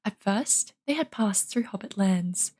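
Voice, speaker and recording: A woman's voice: medium pitch, lively intonation, measured pace, a little mellow, slightly rough. A woman reading a storybook aloud as an informal narrator. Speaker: audiobook reader, streamer.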